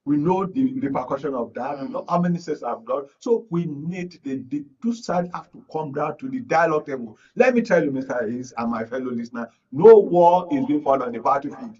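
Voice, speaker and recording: High-pitched Nigerian man, emphatic panel commentator. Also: lively intonation, fairly quick, few pauses, a little mellow, breathy edge. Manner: earnest, emphatic, repeats phrases. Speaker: guest media analyst. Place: radio studio. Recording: muffled audio, distant from mic.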